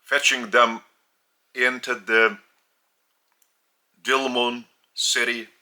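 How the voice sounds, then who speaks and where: Lithuanian guy teaching spiritual stuff real slow, bright sharp voice. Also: medium pitch, level tone. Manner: deliberate, halting, with long pauses.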